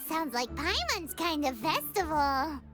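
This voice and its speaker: Game casting: tiny cheeky fairy sidekick, childlike squeak, extremely high, music behind. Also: female voice, very animated, measured pace. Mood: curious, cheerful. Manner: refers to self in third person. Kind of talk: video game character acting.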